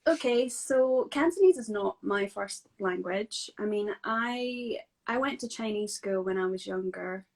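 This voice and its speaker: A woman with a Scottish accent talks casually as a guest from home. She speaks at an ordinary middle pitch, pausing often with fillers and restarting her sentences. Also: darkish tone. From Scotland. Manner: chatty and hesitant, many fillers and restarts. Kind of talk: casual video-call interview.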